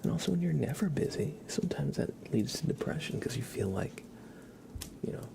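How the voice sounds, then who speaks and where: A man hosting an ASMR livestream, chatting to viewers in a breathy whisper mixed with soft, creaky speech, gentle, unhurried and playful. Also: a little high, animated.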